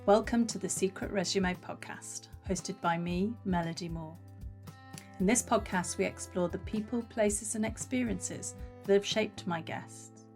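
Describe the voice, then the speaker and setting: A woman's voice: fairly low pitch, a little mellow. A woman hosting an interview podcast, introducing and questioning a guest in a conversational way. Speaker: podcast host.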